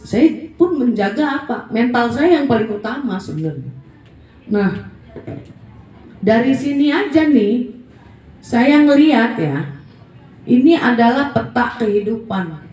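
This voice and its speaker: A woman's voice: on the high side, warm tone, roomy sound, far from the mic, poor audio. A woman in her forties giving a blunt, emphatic motivational talk in a casual, colloquial way. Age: 40s. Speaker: network marketing presenter.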